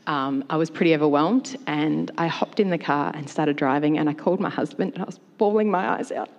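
A woman's voice: deep voice, brisk, a little mellow, lo-fi sound. A woman, a church worship leader, candidly telling a personal story on a panel. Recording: long hall reverb.

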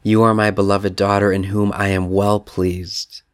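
A man voicing God the Father as a tender, loving male spirit, repeating gentle affirmations. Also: fairly low pitch, level tone, normal speed, clear, resonant tone, dry recording, studio quality, natural voice.

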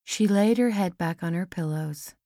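A woman's voice: lowish voice, moderate pace, mellow, no room sound, clean studio sound. A woman narrating a story as an audiobook reader, in a scripted storytelling style.

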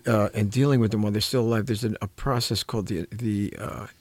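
A man's voice: on the low side, fairly even intonation, quick pace, darkish tone, no room sound. An older man, a clinician, talking reflectively and earnestly, searching for his words and leaning on key ones. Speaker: clinician and programme host. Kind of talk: reflective conversational talk on caregiving.

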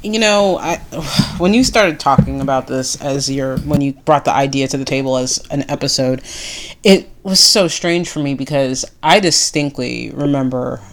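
A woman's voice: a bass voice, moderate pace. A woman co-hosting a podcast in casual chat, speaking bluntly and frankly and laughing readily. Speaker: podcast co-host.

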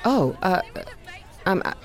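A woman playing a reserved but quietly teasing colleague in an audio drama, wry and a little hesitant. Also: lowish voice, very animated, slow pace. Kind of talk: naturalistic audio drama dialogue. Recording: noisy city street with crowd, traffic.

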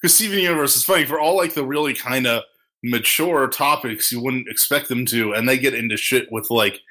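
A man's voice: mid-range voice, fairly quick, bright, forceful tone. A man hosting a casual panel discussion, talking in an informal, earnest and joking way. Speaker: podcast host.

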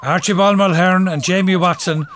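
A policeman in a radio play. His voice is very high for a man, formal and officious, with noise in the background. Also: steady tone, moderate pace, fairly bright tone. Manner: formal, declaratory. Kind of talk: audio drama acting.

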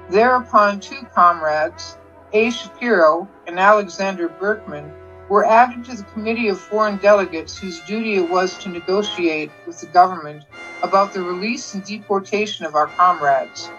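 A woman's voice: mid-range voice, a bit bright. Woman reading emphatically, muffled lo-fi sound. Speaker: podcast reader. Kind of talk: dramatic reading of a polemical letter. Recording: dull lo-fi sound with music behind. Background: music.

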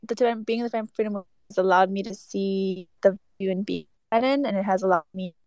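Young american woman on a panel, mid-pitched, dry sound, says like and um a lot. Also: steady tone, very fast, a little mellow, no room sound. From United States. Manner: casual, many "like" and "um" fillers. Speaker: panelist, activist. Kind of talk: panel discussion.

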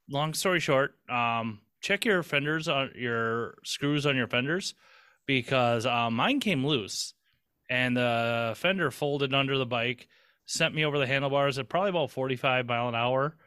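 A man's voice: mid-range voice, unhurried, pushed and bright, smooth, no echo, studio quality. A man co-hosting a podcast in casual chat, rambling and swearing casually with lots of restarts. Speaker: podcast co-host.

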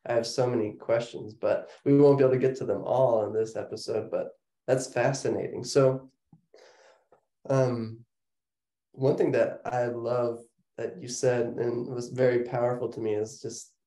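A man's voice: medium pitch, fairly even intonation, normal speed, mellow, reverberant. A man hosting an interview podcast, enthusiastic and affirming toward his guest. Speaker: podcast host.

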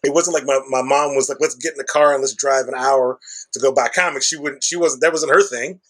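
Husky middle-aged man, chatty. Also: mid-range voice, steady tone, brisk, a bit bright. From Chicago, USA. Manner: chatty, explanatory, peppers speech with "like" and "right?". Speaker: comic shop owner, guest. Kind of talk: conversational podcast interview.

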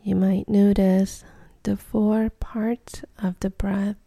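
A woman guides a meditation very slowly, in soft, soothing phrases. Her voice is deeply mellow and breathy, nearly whispered at times, with a creaky fry beneath it. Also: little pitch movement, no room sound. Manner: soft, soothing, unhurried delivery with short phrases.

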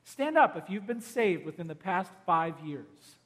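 Pastor guy with a high voice doing an altar call, pleading, gets loud then goes real quiet. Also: very animated, unhurried. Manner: urgent, pleading, swells loud then drops to a hush. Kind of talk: evangelistic altar call sermon.

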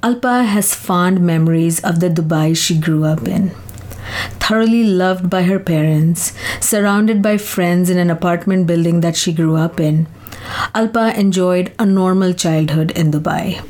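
A woman hosting a podcast, reading scripted narration and asking interview questions in a measured way. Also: fairly low pitch, darkish tone. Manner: measured, clearly read narration. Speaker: podcast host.

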